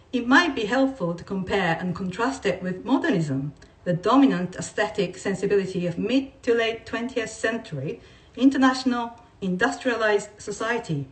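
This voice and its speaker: A woman reading non-fiction aloud in a measured, deliberate way, like a writer presenting a text. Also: medium pitch, expressive. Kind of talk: read-aloud of non-fiction with brief informal asides. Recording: voice distant from the mic.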